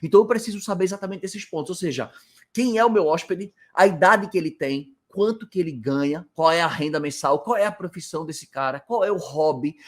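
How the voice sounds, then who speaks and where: A man with a Brazilian Portuguese accent who teaches informally to camera from indoors in a rental house, explaining a point and then answering his own rhetorical questions. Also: sits high. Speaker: hospitality business coach.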